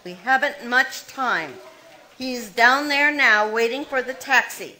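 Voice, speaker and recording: A woman's voice: fairly high pitch, takes it slowly, bright, forceful tone, slight background noise, rough recording. A woman playing a ruthless, scheming young woman who plots a murder, in 1940s radio acting style, cold and commanding. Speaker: radio play actor.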